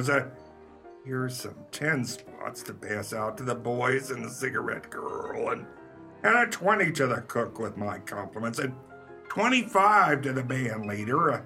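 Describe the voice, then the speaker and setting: A man plays a cocky, free-spending gambler in a 1930s radio drama. His voice is bright and forceful, with animated pitch and an unhurried, swaggering delivery full of slang. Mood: cocky, indulgent. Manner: swaggering, drawn-out slangy talk. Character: Maxie, flashy cocky big-spending gambler sweet on women. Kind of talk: 1930s pulp radio play acting.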